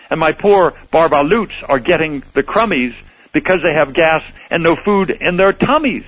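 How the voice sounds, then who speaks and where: A man's voice: fairly high pitch, fairly bright tone, no room sound, lo-fi sound. A man voicing a small, grumpy old forest guardian creature, scolding in rhyming verse. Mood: stern.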